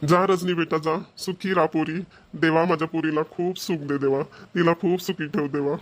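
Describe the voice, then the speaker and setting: A man's voice: a little high, fairly even intonation, normal speed, close to an everyday voice. Man as a tender, anxious cartoon father. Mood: tearful blessing. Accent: Vidarbha Marathi. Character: tender, anxious middle-aged village father, tearful. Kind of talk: amateur cartoon voice acting.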